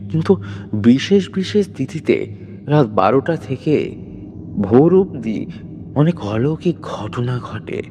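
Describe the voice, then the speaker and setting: A man voicing a kindly, sorrowful elderly village widow who speaks of hauntings in a hushed, grave way. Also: medium pitch. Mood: grave, eerie. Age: older. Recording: long reverb, noisy background.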